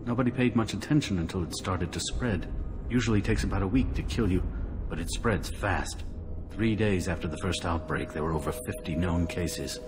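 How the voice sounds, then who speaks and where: An actor playing a wary, embittered alien refugee in a video game, gruff and cynical. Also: male voice, deep voice, normal speed, big-room sound, background noise, natural voice. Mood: grim. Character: wary, embittered male non-human plague-district refugee.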